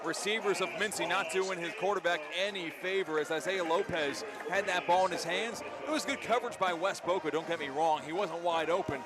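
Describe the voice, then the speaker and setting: High-voiced man calling live football play-by-play. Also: brisk, hardly any pauses. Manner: energetic, vivid play-by-play delivery. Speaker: play-by-play sports announcer. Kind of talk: live radio football commentary.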